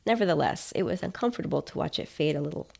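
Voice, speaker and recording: A woman's voice: low voice, animated, fast, gravelly, a little mellow, husky. A woman narrating a scripted first-person story as a podcaster, in a wry, conversational way.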